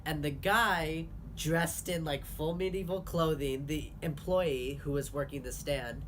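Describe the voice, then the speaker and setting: A man's voice: fairly high pitch, fairly even intonation, fairly bright tone, a little hiss or hum. Chatty young man vlogging. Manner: candid, chatty, quick asides. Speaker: vlogger host. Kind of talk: casual chat to camera.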